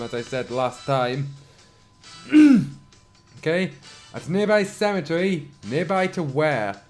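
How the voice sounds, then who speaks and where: A man with a sore throat who reads fan fiction aloud, breaking off for dry, mocking asides and frequent throat clearing. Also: on the high side, a little mellow. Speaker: channel host reading fan fiction. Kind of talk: dramatic reading with sarcastic commentary.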